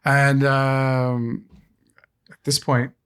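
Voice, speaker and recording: A man co-hosting a podcast, giving rambling, halting opinions with wry asides. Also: medium pitch, level tone, fairly bright tone, clean tone. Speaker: podcast co-host. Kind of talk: casual podcast chat.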